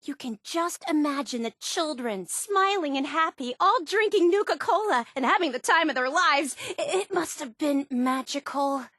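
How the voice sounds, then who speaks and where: A woman playing an eager, obsessive young superfan in a video game, with a gushing, earnest, excitable manner. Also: sits high, moderate pace, few pauses, no echo, studio quality. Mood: excited. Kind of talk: video game character dialogue.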